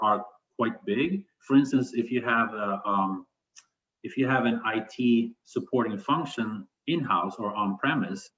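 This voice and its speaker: A man from Sweden answering interview questions conversationally, thinking aloud with some hesitation. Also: medium pitch. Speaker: interview guest, business continuity manager. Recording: dull, lo-fi sound.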